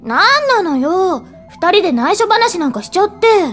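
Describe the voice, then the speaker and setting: A woman voicing an overlooked, ordinary schoolgirl, eager and easily sulky, in an anime audio drama with a girlish, expressive delivery. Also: extremely high pitch, moderate pace, soft background music. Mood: miffed.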